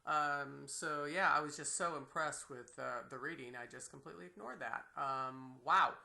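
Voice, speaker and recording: A woman giving an unscripted, enthusiastic screen-share software tutorial as a teacher, with a creaky voice. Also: low-pitched, moderate pace. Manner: enthusiastic, exclaims in delight.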